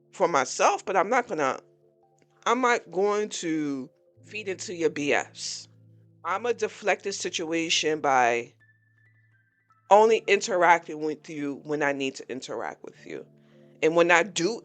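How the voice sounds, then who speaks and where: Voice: medium pitch, fairly bright tone. A podcast host in their early forties giving a candid, blunt personal reflection, talking openly about frustration. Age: 40s.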